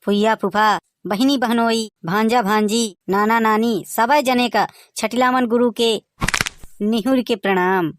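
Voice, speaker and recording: A man's voice: high-pitched, fairly even intonation, a little slow, fairly bright tone. A man with a Bagheli accent voicing a joking rustic village husband who also narrates the cartoon. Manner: folksy, joking, exclaiming.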